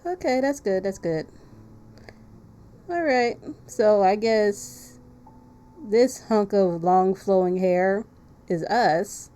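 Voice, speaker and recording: A woman's voice: medium pitch, slow, long pauses, dark tone. A woman chatting casually and informally as she hosts a game live stream, often repeating her phrases. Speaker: streamer hosting a visual novel playthrough. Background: music behind the voice.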